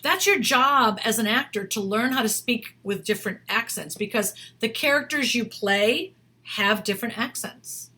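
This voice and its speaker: A woman's voice: on the high side, normal speed, pushed and bright, slightly rough. A middle-aged woman, an acting career coach, giving casual, emphatic advice to camera. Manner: chatty, emphatic, reads a viewer's email aloud.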